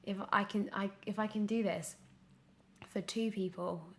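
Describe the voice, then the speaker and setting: A woman in her twenties chatting casually as an interview guest, candid and animated, often correcting herself mid-thought. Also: mid-range voice, a little slow. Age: 20s. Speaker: guest, young actor.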